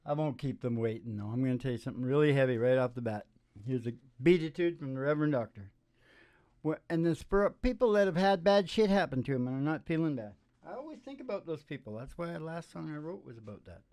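A middle-aged man hosting a music show with playful, unhurried, rambling stage patter full of joking asides. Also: on the high side, animated, a little mellow, no room sound. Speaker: host of a music and comedy show. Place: small dim curtained stage room. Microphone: stand microphone. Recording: guitar playing behind the voice. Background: acoustic guitar.

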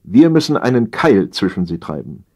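A man's voice: on the low side, sing-song, a little mellow, breathy edge, voice pushed up. A man voicing a self-assured but henpecked emperor, preoccupied with command, in a comic audio story. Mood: resolute. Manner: slow muttering to wife, commanding to generals.

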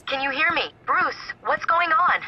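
A worried radio contact. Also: female voice, sits high, animated, unhurried, highs cut off, noisy background. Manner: urgent, anxious.

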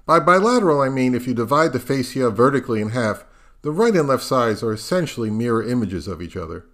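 A middle-aged man hosting an audio review channel, reading scripted narration in a measured, explanatory way. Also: medium pitch, big pitch swings, fairly bright tone.

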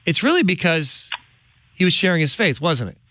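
A man's voice: on the high side, very animated, moderate pace, pushed and bright, no room sound. A middle-aged man hosting a studio interview, asking emphatic, indignant questions. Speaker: host. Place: studio with large window. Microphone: studio microphone on boom.

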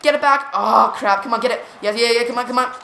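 Woman gaming host; jumpy live reactions, repeats words when excited, echoey room. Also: on the high side, fast talker, slight background noise. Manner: jumpy, reactive, lots of "come on" and "here we go". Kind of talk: excited live gameplay commentary. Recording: roomy echo.

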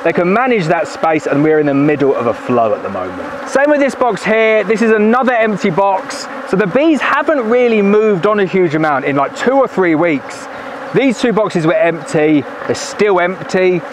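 A high-voiced man talks cheerfully while he works through a hive at an outdoor apiary. His voice comes from a camera set a little way off on a tripod. A faint buzz of bees hangs behind him. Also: animated, normal speed, background noise. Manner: chatty, upbeat, talks while working with the hive. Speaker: beekeeper. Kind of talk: casual hands-on video narration. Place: outdoor apiary at a forest edge, in the evening. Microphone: camera on a tripod at a distance, wearing a bee suit veil. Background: faint outdoor buzzing of bees.

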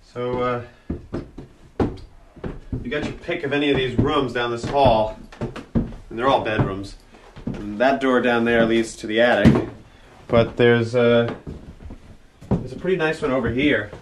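A man acting as an uneasy, frightened young man in a horror audio drama, speaking nervously and haltingly. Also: mid-range voice, fairly even intonation, noisy background, close to an everyday voice. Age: young adult.

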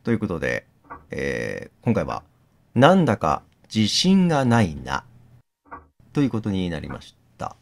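Animated man hosting a game read-aloud. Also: low-pitched, unhurried, gravelly. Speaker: video host reading a game aloud. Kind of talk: game playthrough narration and commentary.

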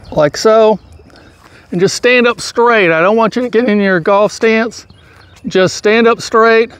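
A middle-aged man teaching golf posture outdoors, giving calm, patient, step-by-step instructions, recorded by a camera standing some way off. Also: high-pitched. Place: outdoors on a grassy field. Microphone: far camera, full-body framing. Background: crickets and insects outdoors.

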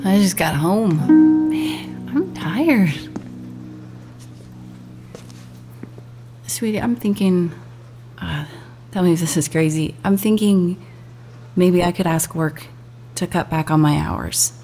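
An actress playing a loving, worried working mother and wife in a naturalistic radio play, with a warm, intimate, conversational manner. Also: fairly low pitch, a little slow, long pauses, soft background music. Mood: tired, hopeful.

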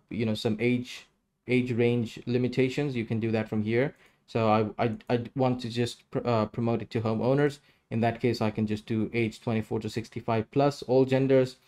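A man's voice: mid-range voice, darkish tone. Man explaining step by step, casually. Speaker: tutorial presenter. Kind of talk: casual screen-share tutorial.

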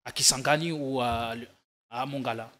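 A young man hosting a political TV interview show, animated and energetic as he introduces his guest and asks questions. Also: mid-range voice, moderate pace. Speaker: TV talk show host. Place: TV studio. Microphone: desk microphone.

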